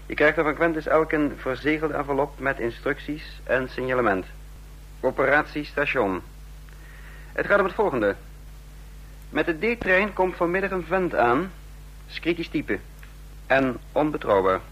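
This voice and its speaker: A man playing a brisk, scheming intelligence chief in a Dutch radio play, curt and commanding, with a husky voice. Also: a little high, expressive, fairly bright tone, exaggerated voice, poor audio. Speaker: radio drama lead and narrator. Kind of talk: Dutch radio play acting, dialogue and narration.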